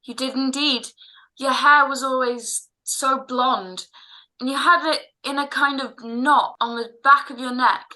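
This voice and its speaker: A man's voice: very high voice, steady tone, measured pace, pushed and bright, full character voice, low-quality recording. An actor playing a fussy, tender-hearted father in an old-time radio drama, blustering and stammering over his words. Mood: fond. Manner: blustering, hesitant with stammered repeats. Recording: online home recording.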